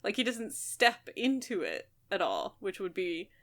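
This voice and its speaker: A woman podcast co-host talks in an informal, speculative way. She is blunt and conversational, working through ideas aloud at a medium pitch. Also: wildly swooping pitch, a little slow, fairly bright tone. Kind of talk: casual book discussion.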